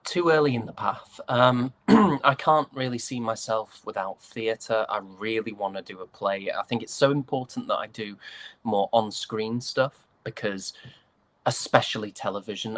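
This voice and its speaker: A man's voice: fairly low pitch, normal speed, a bit bright. A man giving casual interview answers as a guest, thoughtful and hesitant. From United Kingdom.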